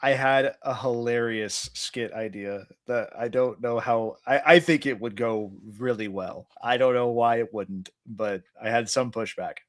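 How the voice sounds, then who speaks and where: A man talks slowly and evenly in a middle-pitched voice. He laughs through his own stories and pitches skit ideas. The sound is close and dry, with no echo. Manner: laughs while pitching a comedy idea. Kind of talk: casual chat.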